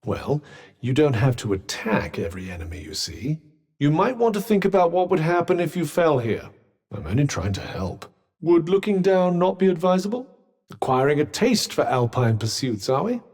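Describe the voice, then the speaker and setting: A man's voice: fairly high pitch, very animated, moderate pace, darkish tone, slightly rough, exaggerated voice. A dry, sardonic male companion. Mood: wry. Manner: wry, teasing, dryly sarcastic. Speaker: voice actor. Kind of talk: game voice lines.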